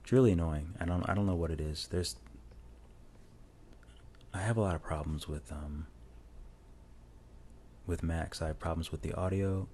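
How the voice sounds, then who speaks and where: A man with a very deep, dark-toned voice rambles easily through a drawing tutorial voice-over, often restarting his sentences. He talks into a lavalier mic on a phone, with a faint hiss underneath. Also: normal speed, pauses often. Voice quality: low voice. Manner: rambling, relaxed, many restarts. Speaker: illustrator narrating a drawing tutorial. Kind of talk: casual voice-over while drawing. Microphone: lavalier mic plugged into a phone.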